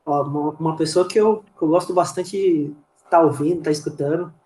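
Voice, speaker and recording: In a roomy, echoing space, a Brazilian man hosts a podcast. His voice is slightly high, and he talks in a casual, chatty way, often repeating his phrases. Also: steady tone, brisk, warm tone, a little hiss or hum. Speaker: podcast host.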